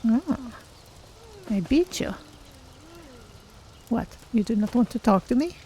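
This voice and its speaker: A man voicing a proud, courteous cat-person game character with formal, measured wording while streaming. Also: very high voice, animated, dark tone, slight background noise, exaggerated voice. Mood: confident, curious. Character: proud, courteous Khajiit cat-person adventurer, third-person speech. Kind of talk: in-character game role-play commentary.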